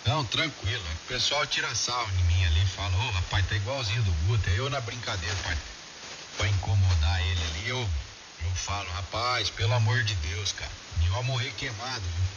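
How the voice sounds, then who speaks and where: A man's voice: lowish voice, brisk, distant mic. A Brazilian man chatting casually as an amateur radio operator. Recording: dull radio audio with clear hiss.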